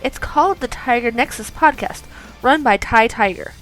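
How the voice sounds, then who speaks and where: A woman's voice: on the high side, moderate pace, natural voice, rough recording. A woman playing a perky young female anime heroine in a scripted podcast advert. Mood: perky, promotional. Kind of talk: scripted promotional ad. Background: music.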